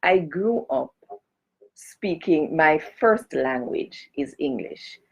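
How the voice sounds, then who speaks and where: A woman's voice: deep voice, lively intonation, takes it slowly, vocal fry, husky, dull audio. A woman from Jamaica chatting casually on a live stream as a cultural advocate, greeting and speaking straight to her viewers. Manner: chatty, greets and addresses viewers directly, gives blessings.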